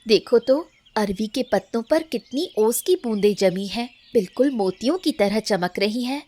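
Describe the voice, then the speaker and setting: A woman playing a gentle, affectionate village family woman in a Hindi cartoon story, tender and appreciative. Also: a little high, expressive, moderate pace.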